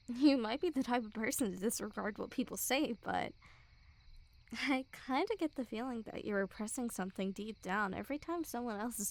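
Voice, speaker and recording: A young woman playing a smug, mocking school bully girl who teases with a hint of care, in an intimate ASMR roleplay. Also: on the high side. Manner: mocking, teasing, giggly. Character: teasing, smug school bully girl, secretly a little caring. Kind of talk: ASMR girlfriend roleplay, intimate one-sided dialogue.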